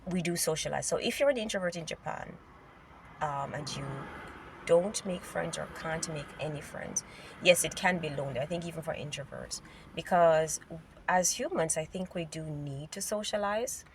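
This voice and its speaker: A woman's voice: deep voice, moderate pace, distant mic, low-quality recording. A Jamaican woman talking casually to camera while driving, musing aloud with many fillers, her voice congested and a bit nasal. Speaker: vlogger. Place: inside a car in city traffic. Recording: loud road noise, mic set back.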